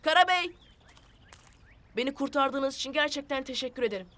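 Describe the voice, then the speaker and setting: A performer voicing a brave, curious young boy in an animated adventure, speaking earnestly and expressively. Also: high-pitched, fairly quick. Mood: earnest, grateful. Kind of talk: animated children's adventure cartoon acting.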